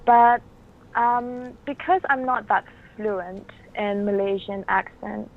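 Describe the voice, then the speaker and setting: A young woman with a Bruneian accent answers an interviewer's questions, soft-spoken and hesitant, pausing on lots of ums. Her voice is of middling pitch and comes through a thin, phone-like line. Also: steady tone. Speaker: actress guest.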